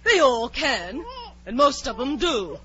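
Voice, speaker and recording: A bossy, snappish old Duchess in a vintage radio play. Her voice is high and swoops widely with every dismissive remark. The recording is muffled and old. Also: very animated, takes it slowly, sharp tone, breathy edge, exaggerated voice. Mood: brusque, bossy. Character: brash, bossy, ill-tempered older Duchess, a storybook aristocrat. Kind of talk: 1940s radio drama acting.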